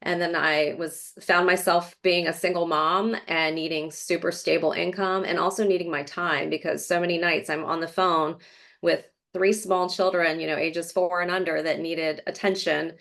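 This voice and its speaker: Casting: middle-aged woman, lowish voice, chatty and rambling, interview guest. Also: level tone, normal speed, vocal fry, a bit bright. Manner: chatty, fillers, rambling storytelling. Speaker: real estate agent, guest. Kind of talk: casual interview conversation. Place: Florida.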